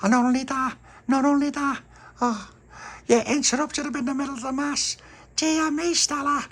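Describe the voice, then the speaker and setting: A man's voice: very high voice, steady tone, moderate pace, fairly bright tone, pitched above the performer's own voice. A man voicing a fussy, easily embarrassed young woman who scolds. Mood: fretful. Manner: scolding, fretful.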